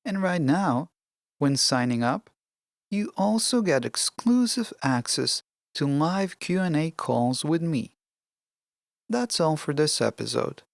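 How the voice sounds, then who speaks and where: A podcast host giving scripted self-help narration in a measured, deliberate, instructional way. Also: mid-range voice, lively intonation, vocal fry, no room sound, clean studio sound.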